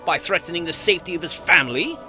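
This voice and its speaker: A man playing a blunt, uneasy dragon companion in an animated fantasy, reluctant and sardonic. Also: on the high side, quick pace, soft background music. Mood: urgent. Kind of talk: animated fantasy drama acting.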